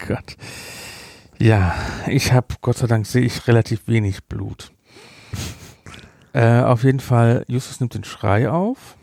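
Halting, dry-voiced male podcast co-host. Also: mid-range voice, vocal fry, a little mellow, dry recording. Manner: hesitant, many fillers and restarts, jumps in quickly. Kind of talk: casual review chat.

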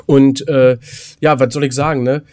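A man's voice: mid-range voice, level tone, a little mellow, clean tone. Rambling man, casual podcast host. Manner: rambling, halting, repeats words mid-sentence. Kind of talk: casual chat between co-hosts.

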